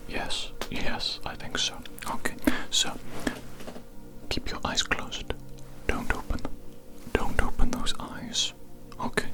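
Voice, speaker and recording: Very slow ASMR whisperer. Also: loud music behind the voice. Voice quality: soft unvoiced whisper. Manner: slow, repetitive, formal clinical phrasing. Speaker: ASMR performer playing a doctor giving a hearing test. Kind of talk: whispered ASMR medical roleplay.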